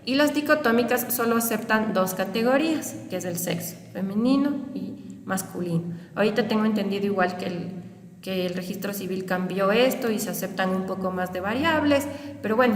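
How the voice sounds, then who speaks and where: A woman's voice: mid-range voice, recorded from a distance. A young woman walks her students through lecture slides, repeating points and asking rhetorical questions. She is recorded on a webcam mic well away from her mouth. The small room rings with a long, roomy reverb. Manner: explanatory, repetitive, checks understanding with rhetorical questions. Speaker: university lecturer.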